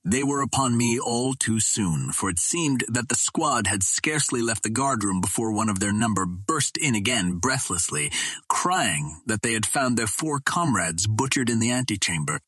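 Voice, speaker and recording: A man narrating a classic adventure novel in a measured, formal storytelling way. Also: on the low side, animated, a bit bright, breathy edge, no room sound. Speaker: audiobook reader.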